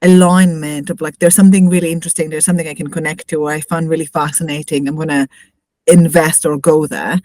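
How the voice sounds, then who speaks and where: A woman's voice: deep voice, flat delivery, fast, a little mellow, no room sound, studio quality. A woman from Israel with a mixed Israeli-tinged accent, co-hosting a podcast and chatting casually with many "like" fillers. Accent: Israeli-tinged, mixed English accent. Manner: rambling, with frequent "like" fillers. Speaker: podcast co-host. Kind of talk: casual conversation.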